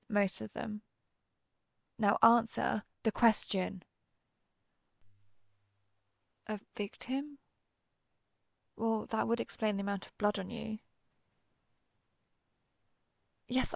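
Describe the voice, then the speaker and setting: A centuries-old vampire huntress, acted by a woman, questions the listener in a roleplay audio drama. Her mid-pitched voice has a strong creak and sounds dry, with no room around it. She is deliberate, cool and sardonic, with menace under the words. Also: no echo. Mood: curt, restrained. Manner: deliberate, interrogating, dryly threatening.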